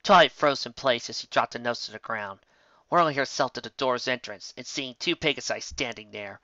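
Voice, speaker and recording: A man's voice: mid-range voice, brisk, pushed and bright, dry recording. A man reading scripted fiction as a story narrator. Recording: slightly dull, close dry recording.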